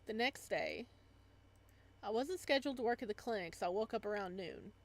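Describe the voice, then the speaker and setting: A woman's voice: medium pitch, lively intonation, brisk. A woman doing a casual let's play, reading story text aloud with side comments and sometimes stumbling over words. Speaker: gamer reading a visual novel aloud.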